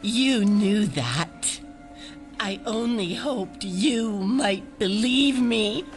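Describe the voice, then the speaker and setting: Sad pleading clone woman, really slow plaintive delivery, audio drama, bit of music behind. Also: mid-range voice, animated. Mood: hurt, pleading. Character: sorrowful, pleading female human clone.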